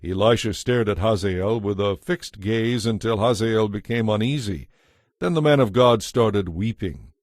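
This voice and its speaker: A man's voice: lowish voice, a little slow, no room sound, studio quality. A man reading scripted narration for an audio Bible.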